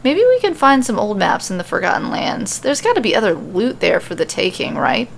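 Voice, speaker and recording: A woman plays a winged female forest sprite leading a fantasy quest in an audio story. She is practical and matter-of-fact. The voice is middling in pitch and creaky, with faint noise on the recording. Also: wildly swooping pitch, a little fast. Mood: musing. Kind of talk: fan fiction audio story dialogue.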